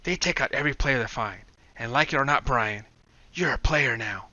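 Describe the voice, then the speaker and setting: A man's voice: moderate pace, sharp tone, dry recording, dull audio. A man voicing Kai, a frightened, worn-out young woman who pleads wearily for help. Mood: pleading. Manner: pleading, weary, raised pitch for a woman.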